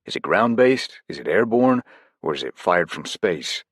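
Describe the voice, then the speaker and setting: A man's voice: low-pitched, big pitch swings, normal speed, no room sound, clean studio sound, voice pushed up. A man voicing an alert young man firing off pressing questions.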